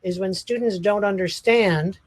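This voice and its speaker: A man's voice: high-pitched, unhurried, dry recording. A man who teaches college, hosting a casual video call session in a friendly, rambling way with many fillers and restarts.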